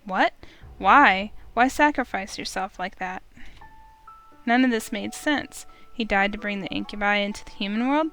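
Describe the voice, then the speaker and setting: A woman's voice: mid-range voice, moderate pace, fairly bright tone, soft background music. A woman narrating a visual novel in first person, emotive and urgent.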